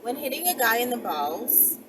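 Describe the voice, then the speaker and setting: A woman with a high-pitched voice talks straight to her viewers in a casual vlog style. Her delivery is sassy and blunt. The microphone sits some distance away, and there is clear noise in the background. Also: sing-song, moderate pace. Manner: sassy, blunt, heavy swearing. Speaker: content creator with her own channel. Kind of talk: casual rant to camera, addressing viewers directly.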